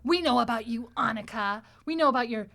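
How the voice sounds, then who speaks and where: A woman hosting a casual panel chat, an animated storyteller who acts out the lines she quotes. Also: a little high, a little fast, vocal fry. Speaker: podcast host and discussion leader.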